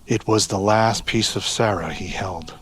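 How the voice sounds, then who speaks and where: A man narrating a scripted audio drama in a solemn, deliberate storytelling manner. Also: lowish voice, a bit bright, breathy edge. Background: music.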